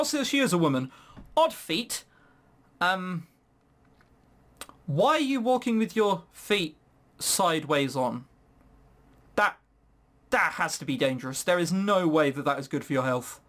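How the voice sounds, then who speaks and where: Animated man doing sarcastic gaming commentary. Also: sits high. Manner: sarcastic, incredulous asides. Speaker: host of a gaming video. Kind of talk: casual let's play commentary with read-aloud game text.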